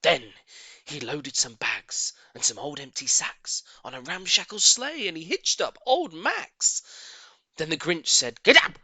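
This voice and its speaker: Slow, wildly expressive male storybook narrator. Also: a little high, slightly rough, dry recording. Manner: theatrical, playful, expressive. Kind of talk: dramatic rhyming storybook narration.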